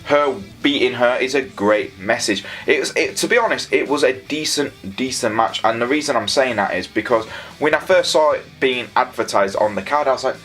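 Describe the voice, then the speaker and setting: This is a man with a Yorkshire accent and a mid-pitched voice. He talks casually and keenly, giving his opinions as a reviewer. Some music plays faintly behind him. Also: moderate pace, fairly bright tone. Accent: Yorkshire English. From Leeds, England. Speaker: wrestling show reviewer. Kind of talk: casual scripted-free review commentary.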